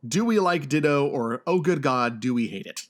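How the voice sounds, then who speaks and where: A man co-hosting a podcast in a casual discussion, with a halting, stammering delivery. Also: medium pitch, animated. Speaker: podcast host.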